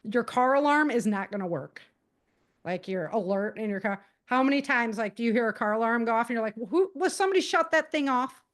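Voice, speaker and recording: Female interview guest, slightly high voice, chatty and animated explainer. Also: brisk. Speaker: guest author giving safety advice. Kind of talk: casual, conversational podcast interview.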